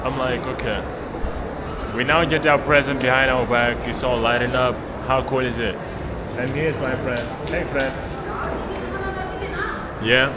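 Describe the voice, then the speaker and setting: A man's voice: medium pitch, fairly even intonation, a little slow, pauses often, over a noisy crowd, heavy background noise. A man vlogging as he walks through a shopping mall, rambling casually to himself and to people he passes. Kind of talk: casual walking commentary. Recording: loud music in a busy mall.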